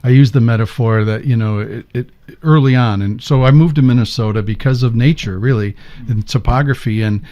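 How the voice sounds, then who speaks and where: An American man in his sixties talks with a mellow, rounded voice. He is a podcast guest telling easygoing stories about his past, with a chuckle here and there. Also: mid-range voice, a little fast, hardly any pauses, dull audio. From upstate New York, USA. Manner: relaxed, anecdotal, laughs between stories. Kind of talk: conversational storytelling.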